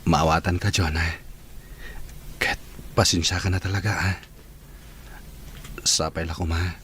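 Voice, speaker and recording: A man playing an earnest, gentle, apologetic young suitor in a radio drama, with a Filipino accent. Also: low voice, flat delivery, unhurried, slight background noise.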